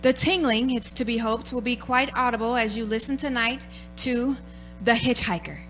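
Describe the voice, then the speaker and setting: A woman's voice: a little high, moderate pace, a bit bright, soft background music. A woman announcer giving a scripted, formal and dramatic introduction to a radio play.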